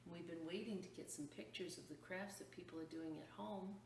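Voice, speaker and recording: A woman's voice: on the low side, a little fast, darkish tone. A woman reading a picture book aloud to children as a story time host, expressively drawing out words and adding chatty, explanatory asides. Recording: echoey room, distant mic, faint noise.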